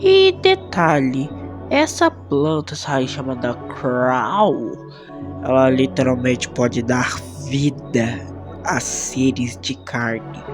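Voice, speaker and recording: A Brazilian Portuguese speaker hosting a video essay, with dramatic, emphatic commentary that often poses rhetorical questions. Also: mid-range voice. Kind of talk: enthusiastic video commentary with scripted narration.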